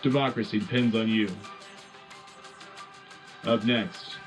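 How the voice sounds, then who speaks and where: An actor playing a gung-ho radio news host in a sci-fi war, delivering scripted bulletins in an urgent, folksy, rallying way. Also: male voice, on the low side, steady tone, takes it slowly. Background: music.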